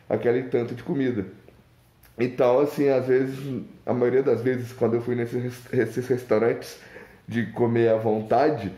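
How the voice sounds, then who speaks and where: A man's voice: mid-range voice, warm tone, echoey room. A young man from Minas Gerais with a mineiro accent, thinking aloud in a casual, rambling vlog monologue full of restarts and fillers. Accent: Mineiro Brazilian Portuguese. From Minas Gerais, Brazil. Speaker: vlogger.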